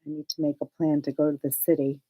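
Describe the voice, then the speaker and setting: A woman's voice: low-pitched, level tone, quick pace, warm tone, husky, poor audio. A woman whose first language is Hebrew, co-hosting a casual knitting podcast and chatting with a laugh. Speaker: podcast co-host. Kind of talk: casual chat.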